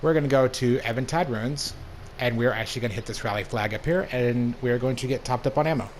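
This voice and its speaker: A young man with a hoarse voice from allergies, casually talking through a game review as the channel's host. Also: mid-range voice, fast, a little hiss or hum. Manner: laid-back, plain-spoken, rambling asides. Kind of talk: casual gameplay commentary.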